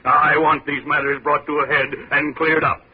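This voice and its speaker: An actor playing a gray-haired, pompous small-town mayor in 1950s radio drama, husky, hesitant and full of overblown rhetoric. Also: male voice, fairly high pitch, level tone, moderate pace. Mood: earnest, formal. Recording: dull old radio recording.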